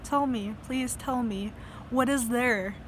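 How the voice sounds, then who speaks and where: A woman's voice: fairly high pitch, slow, slight background noise, rough recording. A young woman telling stories in a casual video diary, rambling, swearing freely and laughing between sentences. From United States. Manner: rambling, profane, laughs often. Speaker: vlogger.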